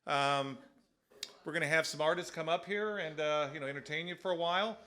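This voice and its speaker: A man with a loud voice, welcoming a live audience to a winery, speaking casually with many "uh" fillers into a PA microphone. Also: on the high side, a little fast. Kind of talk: live event welcome announcement.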